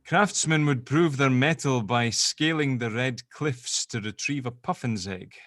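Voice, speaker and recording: A man acts out a fatherly, middle-aged dad in an audio drama, protective and a little awkward. His mid-pitched voice rambles and hesitates, recorded dry. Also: measured pace, fairly bright tone, no room sound, natural voice. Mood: rambling, wistful. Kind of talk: table read of an audio drama script.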